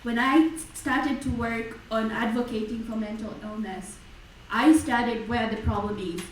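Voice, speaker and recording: A young woman with a slightly high voice speaks in a measured, direct way. She is far from the mic in a very echoey hall. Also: fairly even intonation, a little hiss or hum. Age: young adult. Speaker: student election candidate. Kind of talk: personal statement in debate. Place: university lecture hall.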